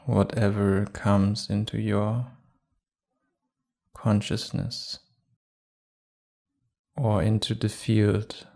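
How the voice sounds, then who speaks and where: A man guiding a meditation calmly and without hurry, leaving long pauses between short phrases. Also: fairly low pitch, vocal fry. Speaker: meditation guide. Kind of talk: guided meditation.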